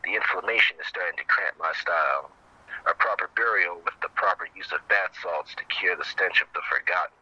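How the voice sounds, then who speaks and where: A man with a very low, intensely forceful-edged voice recites spoken-word poetry as a guest poet. The recording is somewhat lo-fi. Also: brisk, breathy edge. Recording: dull, lo-fi, faint background noise.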